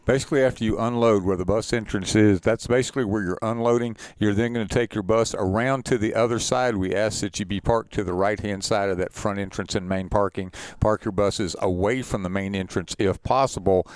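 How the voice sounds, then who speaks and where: Smooth, lower-voiced man briefing attendees. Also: steady tone, fairly quick, hardly any pauses, dry recording. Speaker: contest organizer co-presenting an information session. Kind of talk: informational presentation to directors.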